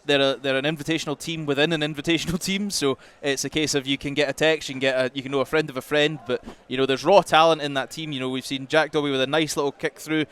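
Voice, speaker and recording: A man's voice: fairly high pitch, fairly even intonation, fast, hardly any pauses, fairly bright tone, smooth. A man giving chatty, conversational analysis as a rugby co-commentator. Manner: chatty, running explanations peppered with "you know". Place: commentary box at a rugby ground. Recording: faint outdoor ground noise.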